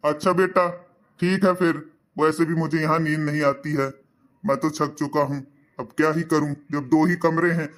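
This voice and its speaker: A man's voice: on the high side, little pitch movement, moderate pace, close to an everyday voice. An actor voicing a tired older father in a cartoon, speaking in a weary, grumbling way.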